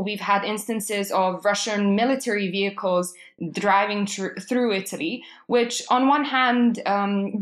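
A young adult woman from Serbia presenting a prepared talk on world affairs, measured and with frequent ums. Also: medium pitch, fairly bright tone.

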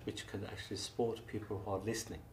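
Low-voiced male host heard from a distance. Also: little pitch movement, fast talker. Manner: frequent short backchannels, summarizing the guest. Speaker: podcast host. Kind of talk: conversational interview. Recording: echoey room, mic set back, faint noise.

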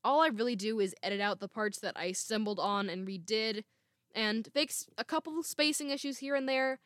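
A woman's voice: normal speed, fairly bright tone, no echo, studio quality. A young woman hosting her podcast, reading from a script with small laughs now and then. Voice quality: naturally lower female voice. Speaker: podcast host. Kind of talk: scripted solo podcast talk. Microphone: home microphone.

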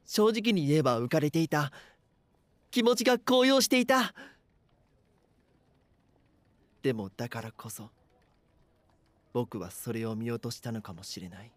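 A man's voice: mid-range voice, lively intonation, moderate pace, no room sound, natural voice. A man playing an anxious, bashful schoolboy who narrates his own story earnestly in a voice drama. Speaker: voice actor. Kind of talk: Japanese voice drama, first-person narration and dialogue.

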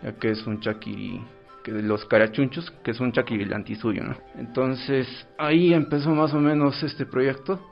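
A man's voice: medium pitch, steady tone, normal speed, gravelly. A man with a Peruvian accent, an orchestra director answering informally as an interview guest. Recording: dull lo-fi sound, faint music.

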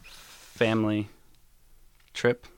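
A man guesting on a podcast, chatting casually with dry, deadpan wisecracks in a naturally loud voice. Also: lowish voice, very slow, smooth. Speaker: podcast guest, community moderator. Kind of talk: casual chat.

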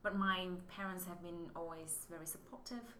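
A woman's voice: on the low side, moderate pace, sharp tone. Woman in an echoey room. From Vietnam. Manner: thoughtful, restarts often, non-native English phrasing. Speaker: MBA student guest. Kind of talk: casual interview answers. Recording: echoey room with faint noise.

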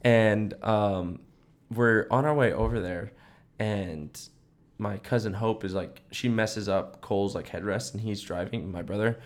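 A young man with a slightly low voice co-hosts a podcast. He tells stories casually and slangily, acting out the people in them in an animated way. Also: measured pace. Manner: animated slangy storyteller, acts out quotes. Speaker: podcast co-host. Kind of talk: casual chat, storytelling.

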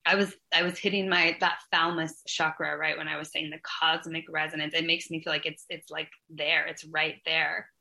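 A woman's voice: sits low, little pitch movement, normal speed, creaky, few pauses, sharp tone. A woman hosting a podcast interview, talking reflectively and searching for words, with spiritual language. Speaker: podcast host.

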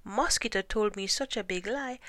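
A woman voicing an innocent, exasperated male iguana who protests emphatically in an animated folktale. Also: medium pitch, moderate pace, no echo, voice pushed up, close to an everyday voice. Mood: defensive.

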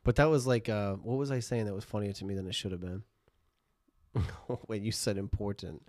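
A man's voice: lowish voice, fairly even intonation, brisk, darkish tone, no room sound, clean studio sound. A man co-hosting a podcast in casual, slangy, teasing banter. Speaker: podcast co-host.

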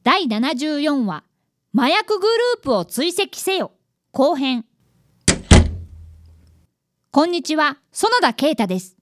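A woman's voice: high voice, sing-song, slow pace, pauses often, full character voice. A woman playing an earnest, polite eleven-year-old boy apprentice detective in a radio drama, narrating and talking dramatically.

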